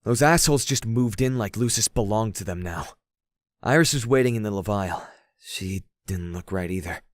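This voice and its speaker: A man's voice: fairly low pitch, lively intonation, moderate pace, no room sound, studio quality, natural voice. A man playing a loyal, hot-tempered young man in an audio drama, speaking in a casual, slangy way. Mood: subdued, reflective. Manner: casual, slangy first-person storytelling. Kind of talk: audio drama narration and dialogue.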